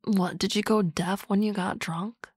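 A woman playing a teasing, confident office boss in a soft, close, whispered ASMR roleplay. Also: medium pitch, steady tone, a little slow, husky, no room sound.

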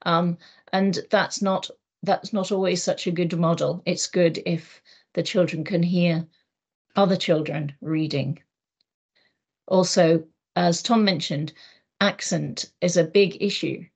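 A middle-aged woman with an English accent, a teacher giving a measured, thoughtful talk on a video call with many ums. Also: lowish voice, fairly even intonation, a little mellow, husky. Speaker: EAL teacher. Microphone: laptop mic on a video call.

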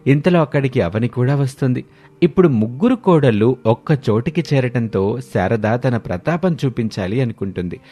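Man narrating a story with animated pitch. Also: husky. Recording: music behind the voice.

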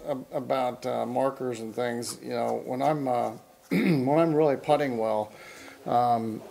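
Gravelly middle-aged man explaining golf. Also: medium pitch, fairly even intonation, a little slow, warm tone, low-quality recording. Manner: explains with analogies, many restarts and fillers. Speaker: golf instructor and show host. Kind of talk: casual studio chat. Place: small studio set with a backdrop.

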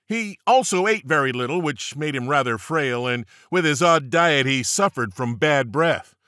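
A man's voice: on the high side, lively intonation, moderate pace, pushed and bright, dry recording, clean studio sound. A man narrating an audiobook of jokes, reading page numbers aloud and landing each punchline playfully.

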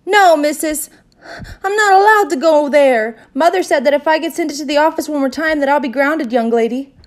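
Woman voicing a high, dramatic, bossy little girl. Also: fairly quick, lightly acted. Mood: upset. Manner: exclaiming, emphatic, repeats herself. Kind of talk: children's audiobook character voice.

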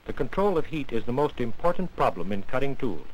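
Measured 1940s training film narrator, a man. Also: mid-range voice, very animated, breathy edge, poor audio. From United States. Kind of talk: scripted instructional narration.

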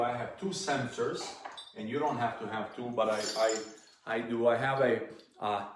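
A man in his forties, a priest whose first language is Serbian, speaking English with a Serbian accent as he earnestly shows parishioners things at home, with many ums and restarts. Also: medium pitch. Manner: earnest, plain-spoken, frequent ums and restarts. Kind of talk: informal teaching to parishioners. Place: living room at home. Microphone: device camera at close range. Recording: echoey room.

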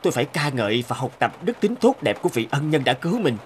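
A man's voice: a little high, big pitch swings, fast, a little hiss or hum. A man playing a kindly, humble truck driver in a cartoon, speaking in an earnest, reflective storytelling way. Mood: earnest, grateful. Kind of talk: cartoon voice acting.

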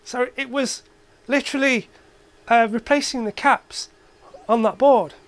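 A man's voice: very high-pitched, fairly even intonation, unhurried. A man giving a casual, hands-on demonstration as an electronics hobbyist in his workshop. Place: cluttered indoor electronics workshop.

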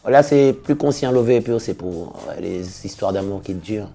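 A man in his fifties, a singer and DJ, answering interview questions in a relaxed, casual way, full of slangy shout-outs. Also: lowish voice, normal speed, gravelly. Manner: relaxed, laid-back, with slangy big-ups. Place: studio in front of blue backdrop.

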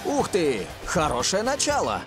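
Выразительного футбольного комментатора с высоковатым голосом. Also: male voice, high-pitched, wildly swooping pitch, unhurried, over music, heavily acted. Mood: impressed. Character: expressive, opinionated male cartoon football commentator. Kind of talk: sports commentary in animated cartoon.

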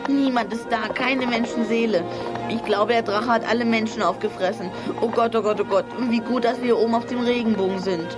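A woman's voice: fairly high pitch, steady tone, fairly quick, over music, close to an everyday voice. A woman playing a tiny, anxious sprite who frets and wails dramatically in a children's radio play. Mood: fearful. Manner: panicky, dramatic wailing.

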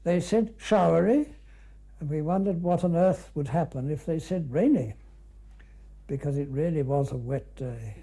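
A man's voice: a little high, moderate pace, dark tone, breathy edge. An older man with a British accent reminiscing in an unscripted, conversational film commentary, with clipped musing asides. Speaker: canoeist recalling an expedition.

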